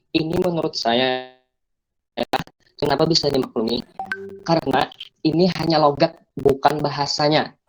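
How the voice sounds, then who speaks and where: A young man answers a classmate's question in a measured, formal way, his voice fairly high. Faint noise hums under the recording. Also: low-quality recording. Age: young adult. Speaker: student presenter.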